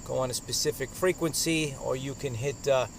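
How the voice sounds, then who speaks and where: A man giving a casual hands-on product review outdoors, speaking in a halting, hesitant way. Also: medium pitch. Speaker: radio reviewer. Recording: outdoor noise, insects and traffic.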